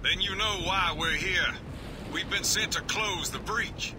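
An earnest special forces soldier in a video game cutscene. His voice sits fairly high and he speaks with resolve over noisy, rumbling ambience. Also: a little slow. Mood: resolute.

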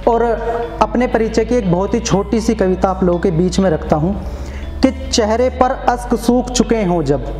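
Young male open mic poet, high-pitched and declamatory, echoey room with faint music. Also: moderate pace, a little mellow. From Uttar Pradesh, India. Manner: declamatory, repeats lines for emphasis. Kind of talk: open mic poetry recitation. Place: small indoor open mic venue. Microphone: stand mic.

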